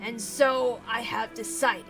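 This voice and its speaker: A woman's voice: fairly high pitch, steady tone, fairly bright tone, faint music behind, voice pushed down, poor audio. A woman voicing a deranged, tyrannical old patriarch with theatrical, obsessive rants, reading from a visual novel. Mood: grave, fervent. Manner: theatrical howling rants, drawn-out pleading.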